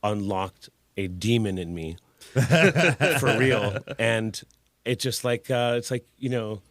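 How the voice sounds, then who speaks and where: A man's voice: fairly low pitch, fairly even intonation, slow, dry recording. A man in his forties, a guest artist telling his story in a candid, laid-back way with casual swearing. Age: 40s. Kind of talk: casual interview conversation.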